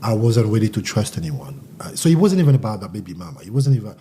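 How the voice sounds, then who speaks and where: A man's voice: fairly low pitch, sing-song, quick pace, dark tone, slight background noise. A man from Central Africa, raised in France, speaking candidly and reflectively as a guest, often restarting his sentences. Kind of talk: casual interview.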